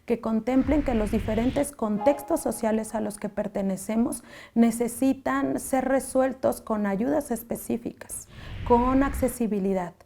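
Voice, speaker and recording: Higher-voiced, mellow woman advocate. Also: vocal fry, a little hiss or hum. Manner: measured, listing demands. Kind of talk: documentary interview statement.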